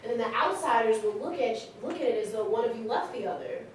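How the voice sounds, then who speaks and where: A woman playing a frank, affectionate, amused grown daughter in a staged reading, with chatty, animated delivery. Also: on the high side, fast, lightly acted. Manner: chatty, animated storytelling, often laughing. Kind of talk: staged reading, conversational monologue and dialogue. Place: live staged reading in a large room. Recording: very echoey hall, distant mic, faint noise.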